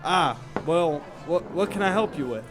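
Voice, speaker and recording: A man's voice: on the high side, lively intonation, normal speed, over music, close to an everyday voice. A man playing a friendly nightclub bartender in a noir audio drama, folksy and hesitant as he informs. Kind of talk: noir radio drama dialogue.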